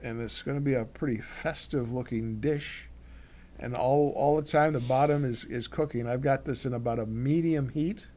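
Older man, cooking show co-host, halting, rambling, lots of fillers, home kitchen. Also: mid-range voice, mellow. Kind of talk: casual cooking demonstration. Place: home living room, then kitchen.

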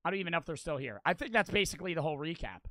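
Loud, bright young male streamer. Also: a little high, racing, no echo. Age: 20s. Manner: loud, excitable, swears and shouts at the game. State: voice cracking, losing his voice. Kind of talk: livestream gaming commentary. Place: small bedroom streaming setup. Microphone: headset mic on boom arm.

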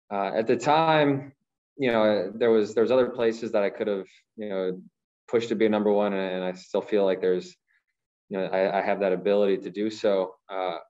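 A man with a very low voice answers press questions over a slightly dull, lo-fi video call. He talks in a measured way, often restarting and repeating words as he gathers his thoughts. He speaks like a professional athlete from Ohio. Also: darkish tone. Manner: measured, repeats words while thinking, many fillers. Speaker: professional goalkeeper. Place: small room, branded backdrop. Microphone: video call, masked.

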